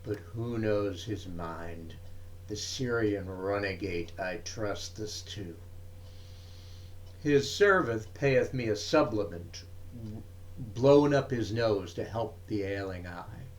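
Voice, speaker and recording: A man giving a dramatic reading of verse, in measured, deliberate phrases broken by pauses. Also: lowish voice, animated, low-quality recording. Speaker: reader of poetry.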